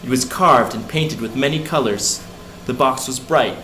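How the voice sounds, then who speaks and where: A man's voice: mid-range voice, normal speed, pushed and bright. A man narrating a folk tale for children in simple, short phrases. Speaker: storyteller. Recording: echoey room, faint background noise.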